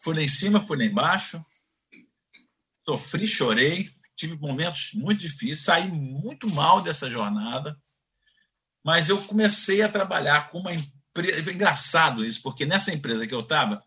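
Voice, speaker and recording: A man's voice: fairly high pitch, moderate pace. A man in his fifties with a Carioca accent, telling his career story in an interview and acting out the voices in his anecdotes. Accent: Carioca Portuguese. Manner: animated, acts out voices in anecdotes. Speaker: guest.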